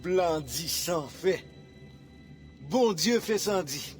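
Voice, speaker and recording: A man's voice: on the high side, expressive, takes it slowly. An actor playing the earnest leader of a community of freed slaves, weighing his words and dropping French Creole proverbs. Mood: resolute. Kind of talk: video game cutscene acting. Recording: game cutscene with music behind.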